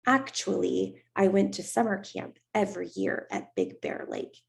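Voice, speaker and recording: A woman's voice: medium pitch, sing-song, a little slow, gravelly, full character voice. A woman reading aloud and voicing a reserved, somewhat haughty young girl. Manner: cool, slightly haughty.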